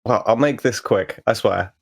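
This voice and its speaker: A man's voice: lowish voice, normal speed, vocal fry, slightly rough. A man playing a tabletop game, chatting casually about his character, on a noisy recording.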